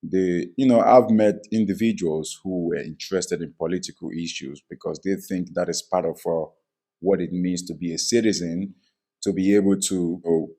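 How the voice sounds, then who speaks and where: Low, mellow-voiced podcast host. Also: male voice, steady tone, moderate pace. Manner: earnest, rambling with fillers. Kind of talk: podcast interview. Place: podcast studio.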